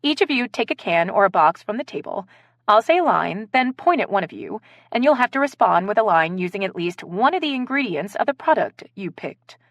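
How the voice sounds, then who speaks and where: A woman's voice: mid-range voice, big pitch swings, brisk, a bit bright, dry recording, voice pushed up. A woman narrator voicing a theatrical, commanding woman who leads an improv game in a story. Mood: eager, instructing. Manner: theatrical, directing.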